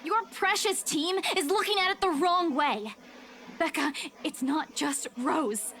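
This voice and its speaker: A woman's voice: high voice, measured pace, a bit bright, a little hiss or hum. An actress playing a desperate young woman pleading for help in a horror video game. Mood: urgent. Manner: urgent, insistent.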